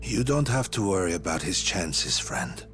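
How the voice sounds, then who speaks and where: A man's voice: low voice, very animated, moderate pace, fairly bright tone, soft background music. An actor playing a polite, earnest male doctor delivering a grave message in a game dub. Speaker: doctor messenger.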